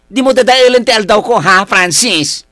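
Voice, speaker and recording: A woman's voice: mid-range voice, animated, normal speed, natural voice. An actress with an Ilocano accent playing a guilty young woman in a radio drama, hesitant, pleading and melodramatic. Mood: defensive, sharp. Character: guilty young woman who took her best friend's boyfriend. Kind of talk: Filipino radio drama acting.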